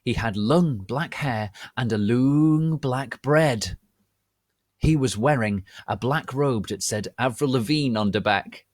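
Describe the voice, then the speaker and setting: A man giving a theatrical, deliberate dramatic reading of a story as its narrator. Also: medium pitch, no room sound, studio quality. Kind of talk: dramatic reading of fan fiction.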